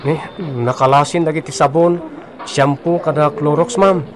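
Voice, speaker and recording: An actor playing a meek, excuse-making grocery clerk, apologetic and defensive, with an Ilocano accent in a radio drama. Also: male voice, a little high, fairly even intonation, moderate pace, crowd noise, close to an everyday voice.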